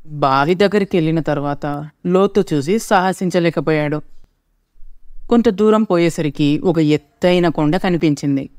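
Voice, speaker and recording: High, smooth-voiced male storyteller. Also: darkish tone, no room sound, studio quality. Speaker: narrator. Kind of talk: scripted storytelling narration.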